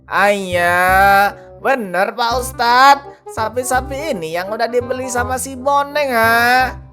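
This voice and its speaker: A woman voicing an earnest, defensive male cattle trader in a cartoon, with drawn-out exclamations and questioning tags. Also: a little high, over music. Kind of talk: animated cartoon dialogue.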